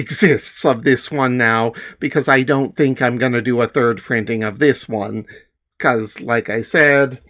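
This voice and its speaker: A man's voice: mid-range voice, fairly bright tone. A man, a small comics publisher, chatting in a rambling, hesitant, self-deprecating way with many fillers. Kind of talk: casual spoken commentary.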